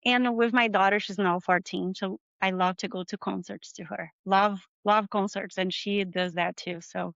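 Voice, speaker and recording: A middle-aged Brazilian woman speaks English with a clear Brazilian Portuguese accent and a smooth, resonant voice. She talks openly and thoughtfully, with a few small non-native slips. The sound is dry and a little muffled. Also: medium pitch, fairly even intonation, normal speed, hardly any pauses, fairly bright tone, no room sound. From southern Brazil. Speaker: interview guest, marketing manager. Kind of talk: video interview conversation.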